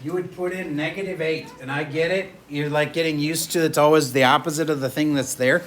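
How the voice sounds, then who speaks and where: A man's voice: fairly high pitch, fairly even intonation, a little fast, a little hiss or hum. A male math teacher lecturing a classroom in a chatty, joking way while losing his voice. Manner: chatty, jokey, moves around the room.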